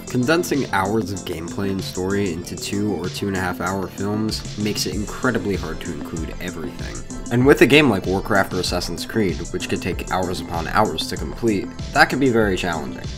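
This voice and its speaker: A man hosting a video essay, reading scripted commentary in an informal, opinionated way. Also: fairly low pitch.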